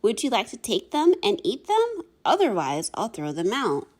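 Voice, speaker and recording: A woman reads the part of a practical shopkeeper in a picture book. She is matter-of-fact, in a fairly high voice with lively intonation. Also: normal speed. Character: Sally, matter-of-fact female donut shop keeper.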